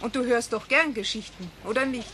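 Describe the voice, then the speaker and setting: An actress playing a young mother in a radio play, soft and persuasive as she coaxes her child. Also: on the high side, a little fast, a little hiss or hum. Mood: coaxing. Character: gentle, coaxing young mother.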